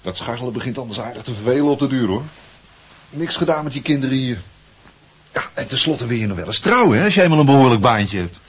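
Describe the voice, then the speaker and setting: A man's voice: mid-range voice, expressive, quick pace, slightly rough. A man playing a breezy, boastful teenage schoolboy in a Dutch radio play, chatty and full of worldly-wise airs. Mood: confiding, boastful.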